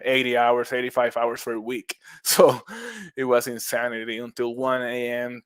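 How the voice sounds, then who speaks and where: A man's voice: mid-range voice, monotone, moderate pace, smooth, no echo, clean studio sound. A middle-aged man from Puerto Rico with a Puerto Rican accent, a guest on a casual podcast who talks in a friendly way, laughing often and restarting his words. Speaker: guest, mushroom business owner.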